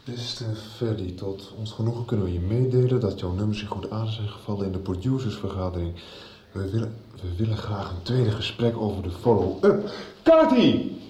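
An actor playing an excitable young musician son in a Dutch radio soap. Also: male voice, lowish voice, moderate pace, natural voice. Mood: excited. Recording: roomy sound with faint noise.

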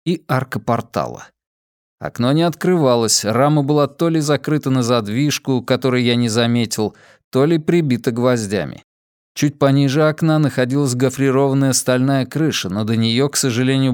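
A man's voice: medium pitch, darkish tone, clean tone, no echo, clean studio sound. A man reading a first-person novel as a scripted audiobook narration.

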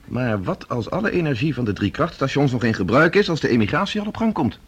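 Sober careful academic in an audio play, reasoned talk, husky mid-range voice. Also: male voice, mid-range voice, sing-song. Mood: reasoning. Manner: reasoned, measured. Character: sober, cautious male professor and delegate. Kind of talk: Dutch radio play dialogue.

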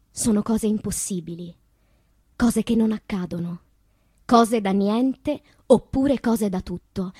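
A slow, husky, tormented woman poet. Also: mid-range voice, dry recording. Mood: brooding. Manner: dramatic, slow deliberate delivery with hushed passages. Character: tormented woman poet recalling destructive loves, wistful and intense. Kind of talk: theatrical poetic monologue.

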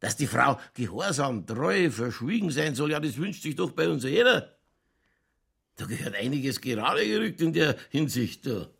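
A man playing a boozy, world-weary middle-aged police chief in a radio play, with a Lower Bavarian accent and a rambling, tipsy, cynically jovial delivery. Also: very animated, moderate pace, vocal fry, fairly bright tone, breathy edge, heavily acted. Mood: tipsy, opinionated. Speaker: actor in a crime radio play. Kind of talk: naturalistic German radio drama dialogue.

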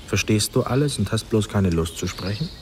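A man's voice: on the low side, lively intonation, a little fast, a little mellow, natural voice. An actor playing a genial, teasing man talking playfully to a silent little boy in a radio drama. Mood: teasing, curious. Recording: noisy outdoor scene with birdsong. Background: birds and outdoor countryside ambience.

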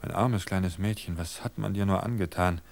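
A man voicing a tender young man murmuring softly to his beloved in a radio drama. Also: deep voice, normal speed, creaky. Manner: soft, murmuring.

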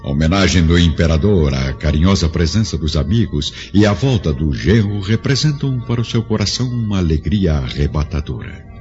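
A man's voice: deep voice, expressive, fairly quick, darkish tone. A man with a Brazilian accent narrating a radio drama in a solemn, literary way. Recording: dull lo-fi audio with music. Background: music.

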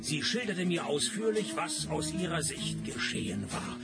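An actor playing a dry, sarcastic private detective in a radio play, speaking in a deadpan, ironic way. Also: male voice, fairly high pitch, unhurried, creaky, over loud music, full character voice. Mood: wry narrating. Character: dry, sarcastic male private detective, unimpressed and quick-witted. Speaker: lead detective and narrator. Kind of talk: radio play dialogue and first-person narration.

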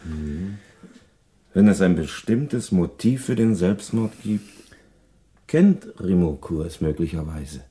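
An actor playing a police inspector's dry, keen subordinate in a German radio crime drama, matter-of-fact and speculative. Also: male voice, deep voice, lively intonation, slow pace, dark tone. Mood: speculating. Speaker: police detective.

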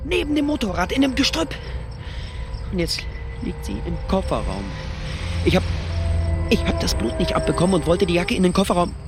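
An actor playing a tense doctor who stammers and grows frantic in a radio drama. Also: male voice, high voice, big pitch swings, brisk, pauses often, music in the background. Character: nervous male doctor sliding into panic.

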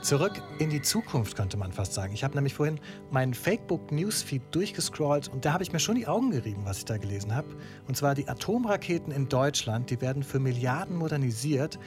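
A man's voice: medium pitch, very animated, fairly quick, over music, natural voice. A man playing an upbeat radio presenter, chatty and eager as he interviews a band. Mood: chatty, intrigued.

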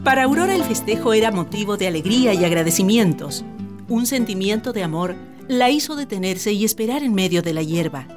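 A woman's voice: medium pitch, expressive, fairly quick, over music, close to an everyday voice. A woman giving scripted, storybook narration as the narrator of a radio play.

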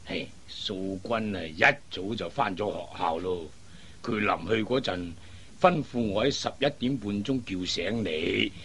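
A man's voice: lowish voice, unhurried, vocal fry, a bit bright, breathy edge, heavily acted. A man playing a worried, old-fashioned middle-aged father in a Cantonese radio drama, fretful and hesitant. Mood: nagging. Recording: faint background noise.

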